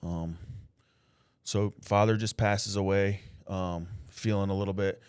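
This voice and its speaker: A man's voice: deep voice, fairly even intonation, measured pace, a little mellow, clear, resonant tone, dry recording, clean studio sound. A man hosting a podcast, speaking earnestly and often filling his speech with "like" and "man", sharing his own experience as he asks questions. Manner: earnest, faith-driven, fills with "like" and "man". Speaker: podcast host. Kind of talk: casual interview conversation.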